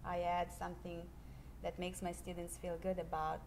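A middle-aged woman from Serbia, a high school history teacher, speaking in a measured, earnest way with many pauses in a classroom interview on a handheld mic. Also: monotone, darkish tone, a little hiss or hum, poor audio. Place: classroom, indoors.

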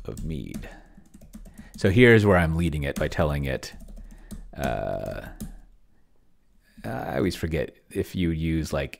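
A middle-aged man giving casual think-aloud commentary at his computer in a halting, murmuring way, close to a desk microphone. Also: a bass voice, creaky, slight background noise. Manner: halting, murmuring to himself while typing and reading. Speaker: host, solo roleplaying player. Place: small room at home, indoors. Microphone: desk microphone close to the mouth.

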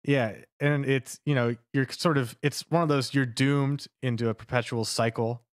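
Male podcast panelist, mid-pitched and dry-sounding, casual, tentative speculation. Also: fairly even intonation, normal speed, no room sound. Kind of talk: casual chat, joking speculation.

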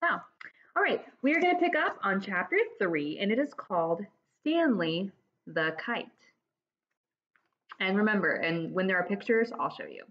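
Lively woman reading a children's storybook. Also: medium pitch, moderate pace. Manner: expressive, explanatory, addressing children directly. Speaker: teacher reading aloud to pupils. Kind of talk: children's storybook read-aloud. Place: dining room at home, indoors. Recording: dull lo-fi webcam audio.

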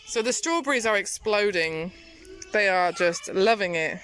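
A woman's voice: medium pitch, animated, normal speed, fairly bright tone, poor audio. A woman chatting casually through a tour of her back garden, teasing and laughing often. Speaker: family vlogger. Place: sunny back garden outdoors.

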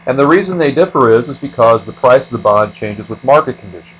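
A man's voice: medium pitch, expressive, fast talker, low-quality recording. A man giving a step-by-step screencast lecture as an instructor, explaining things methodically. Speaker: accounting instructor.

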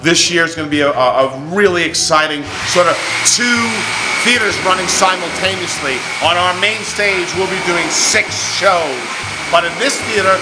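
A man in his fifties giving an enthusiastic, emphatic promotional talk to camera as a theater's artistic director. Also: sits high. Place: indoor theater space under renovation. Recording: echoey room, loud construction noise behind. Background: loud construction noise.

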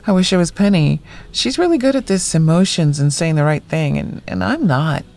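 Blunt, lively, creaky-voiced woman friend. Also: sits low, moderate pace. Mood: gentle, sympathetic. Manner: blunt, wry, casual. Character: blunt, foul-mouthed, loyal woman friend, homebody. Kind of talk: naturalistic audio drama acting.

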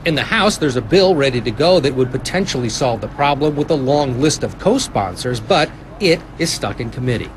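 A man in his forties, a field reporter, narrating a news story in a clear, measured delivery while standing on a town street. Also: medium pitch, expressive, noisy background. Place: outdoors on a small-town street.

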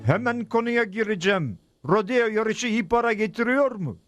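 A scheming cartoon deal-maker , acted by a man, persuasive and businesslike. The voice is high-pitched and sits over loud music. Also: normal speed, close to an everyday voice. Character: smooth-talking scheming man offering a deal. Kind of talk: Turkish cartoon dub acting.

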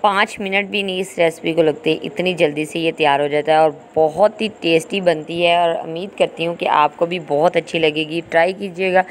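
A woman's voice: fairly low pitch, fairly even intonation, brisk, few pauses. A woman giving an informal, instructional recipe voice-over as a cooking host. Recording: home recording with clear background noise.

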